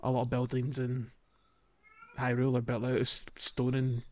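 A man's voice: mid-range voice, monotone, normal speed, darkish tone. A man co-hosting a podcast, talking casually about a video game. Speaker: podcast co-host.

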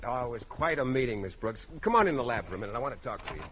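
An actor plays an earnest, oblivious male science teacher in a vintage radio comedy. His mid-pitched voice swings widely, hesitant and eager. The old broadcast sounds dull and noisy. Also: lively intonation, racing, natural voice. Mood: cheery. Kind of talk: vintage radio sitcom acting.

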